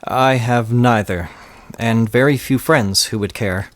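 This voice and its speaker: A man's voice: medium pitch, measured pace, lightly acted. A man playing an earnest, reserved young tutor in an audio play. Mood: earnest, solemn.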